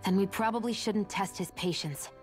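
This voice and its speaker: An actress playing a proud, no-nonsense young woman in a video game, blunt and sharp-tongued. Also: mid-range voice, natural voice. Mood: stern. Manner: brisk, blunt, admonishing. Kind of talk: video game cutscene acting. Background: music.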